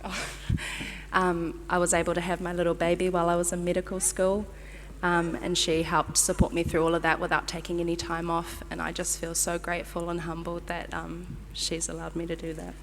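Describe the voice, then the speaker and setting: A young woman speaks at a ceremony, her lowish voice ringing through a reverberant hall. She sounds flustered and grateful, filling pauses with ums and quick nervous laughs. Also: monotone, a little hiss or hum. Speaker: medical graduate giving a speech. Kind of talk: ceremony acceptance speech.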